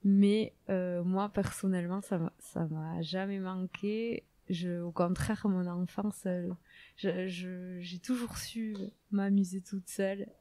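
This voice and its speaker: A woman from southwest France with a southwestern French accent, a podcast guest answering casually with many pauses and fillers. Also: lowish voice, fairly even intonation, dark tone, no echo. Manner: hesitant, many fillers, laughs easily.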